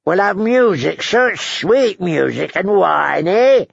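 A man's voice: high voice, expressive, takes it slowly, creaky, slightly rough, no echo, highs cut off, voice pushed up, heavily acted. A man voicing a querulous, leering, wrinkled old lord in an audiobook, drawing out his words and breaking them up with querulous grunts. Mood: bossy, leering. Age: elderly. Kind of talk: audiobook character acting.

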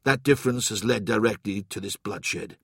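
A calm, resolute warrior captain , acted by a male audiobook reader, speaks with quiet, measured firmness. The voice is husky and creaky, recorded dry and close. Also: on the low side, dry recording, exaggerated voice. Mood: calm, reasoning.

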